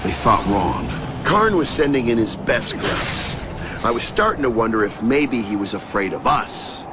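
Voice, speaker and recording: A man's voice: animated, measured pace, lightly acted. A man acting a wry, battle-worn soldier in a video game cutscene, recounting a war mission in a dry, sardonic way. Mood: wry, reflective. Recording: loud music behind, vehicle rumble.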